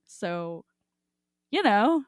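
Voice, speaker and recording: Young woman, podcast co-host. Slangy, giggly, casual, medium pitch, dry sound. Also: big pitch swings, extremely slow, no echo. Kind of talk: casual chat about an audio drama.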